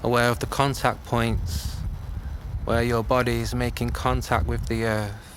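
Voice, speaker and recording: Slow-speaking man guiding meditation outdoors. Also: mid-range voice, level tone. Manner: gentle, unhurried, with long pauses between phrases. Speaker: meditation teacher. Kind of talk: guided meditation. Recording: outdoor class with clear background noise.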